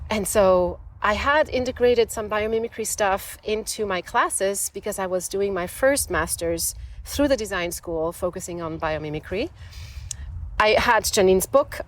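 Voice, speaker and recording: A woman from Switzerland, a design professor, telling her story with enthusiasm in a relaxed outdoor interview. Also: mid-range voice. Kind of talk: conversational interview. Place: outdoors in a desert botanical garden.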